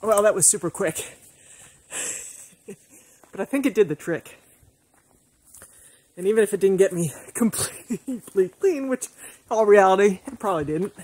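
A woman in her forties chatting casually to a phone held at arm's length in a forest, relaxed and laughing easily. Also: mid-range voice, a little slow, pauses often, slightly rough. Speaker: vlogger. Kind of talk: casual solo travel vlog. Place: outdoors in a forest.

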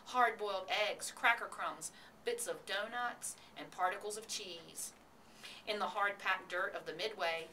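A woman voicing a knowing old sheep in a storybook, measured and persuasive as she tempts. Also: medium pitch, sharp tone, distant mic, slight background noise, lightly acted, poor audio.

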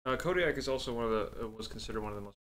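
A young man chatting casually and loudly as a podcast co-host, swearing while he plays a game. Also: mid-range voice, very fast, a little hiss or hum. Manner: loud, profane, reacts to the game. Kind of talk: casual chat while gaming.

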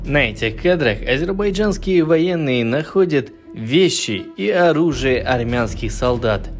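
A man's voice: fairly high pitch, expressive, a little slow, faint music behind. A man reading a news voice-over in a plain reporting manner. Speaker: news narrator.

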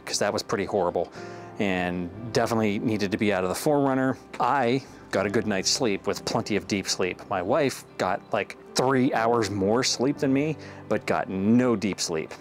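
Low-voiced guy vlogging from his car, laid-back and rambling, clip-on mic, music playing. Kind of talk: casual vlog talk to camera. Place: parked car, then outdoor porch. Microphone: lapel.

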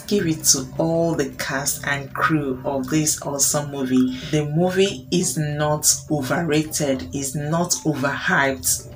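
A woman hosting her channel, reviewing a film in an enthusiastic, chatty voice-over that calls viewers guys. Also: deep voice. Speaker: channel host. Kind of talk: scripted entertainment review voice-over. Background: soft guitar music.

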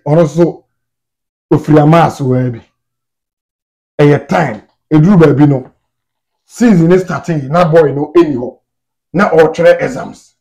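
A man's voice: high-pitched, a little mellow, poor audio. A Ghanaian man in his thirties giving casual, conversational football news commentary to the camera as a fan channel host. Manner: conversational, mixes Twi and English. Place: small indoor room at home.

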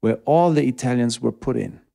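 A man from Germany speaking English, earnest and halting, often searching for words. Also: mid-range voice, gravelly, mellow. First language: not English. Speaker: pastor and guest. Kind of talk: casual interview.

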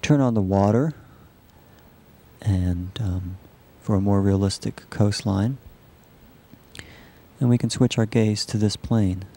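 Male technical demonstrator, low voice with plenty of fry, halting and explanatory. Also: warm tone. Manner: halting, measured explanatory delivery. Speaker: software researcher demonstrating a system. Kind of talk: live demo narration of a computer animation.

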